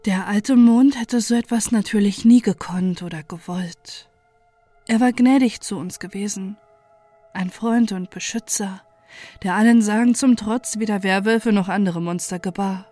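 Female horror narrator, mellow and dark, grave measured storytelling, scripted, light music bed. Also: medium pitch.

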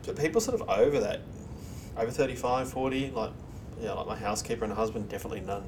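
A man with an Australian accent being interviewed, chatting informally and giving his opinions. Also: fast talker. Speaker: interview guest. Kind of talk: casual conversational interview. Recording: noisy recording with low rumble.

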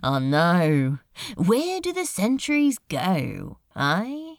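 A woman's voice: lowish voice, huge pitch swings, extremely slow, no room sound, studio quality, exaggerated voice. A woman voicing a cool, teasing male demon with casual, wry affection in an audio story. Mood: breezy.

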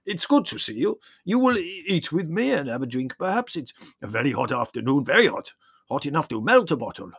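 A man voicing an excitable, hearty old Greek shepherd in a storybook, emphatic and given to repeating himself. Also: fairly high pitch, a little fast, vocal fry, breathy edge, dry recording, clean studio sound, pitched above the performer's own voice, heavily acted. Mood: hearty welcome. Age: older. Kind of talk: storybook character voice.

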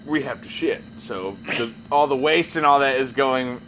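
A man telling profane stories in casual chat, animated and laughing through them. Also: mid-range voice, normal speed, slight background noise, poor audio. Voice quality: gravelly, swears constantly. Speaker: podcast co-host telling stories.

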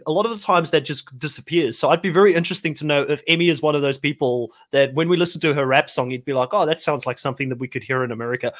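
A man's voice: fairly high pitch, a bit bright. A man, an Afrikaans speaker, hosting a casual panel discussion. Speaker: host.

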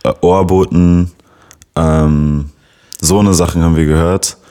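A young man from Berlin speaks in a very deep, low voice. English was his first language, and he tells his story in an easy, matter-of-fact manner as an interview guest. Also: fairly even intonation, unhurried, dark tone. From Berlin, Germany. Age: young adult. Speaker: rapper guest. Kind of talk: casual interview answers.

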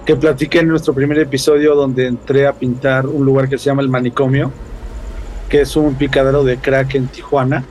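A man's voice: mid-range voice, darkish tone, slight background noise, low-quality recording. A man, telling stories casually as a podcast guest. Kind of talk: casual storytelling.

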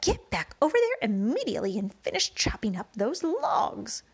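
A woman voicing a harsh, bossy, tall and bony aunt in a storybook reading, shrill and barking orders. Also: huge pitch swings, moderate pace, vocal fry, slightly rough, exaggerated voice. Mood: scolding.

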